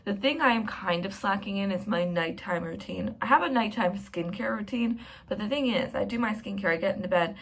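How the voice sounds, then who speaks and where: Young female vlogger, chatty and rambling, self-deprecating, casual talk to camera. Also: medium pitch. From Canada.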